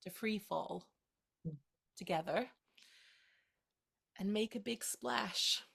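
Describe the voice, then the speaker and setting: Actress as tender, teasing young woman, unhurried. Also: medium pitch, creaky, fairly bright tone, slightly rough, heavily acted. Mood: romantic, hopeful. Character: playful, tender young woman shyly courting her friend. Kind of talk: two-hander stage play reading.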